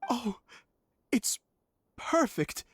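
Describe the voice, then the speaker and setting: A woman voicing a shy, humble and sentimental spirit director in a video game, halting and earnest. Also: no room sound, clean studio sound. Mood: moved. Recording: background music under the voice.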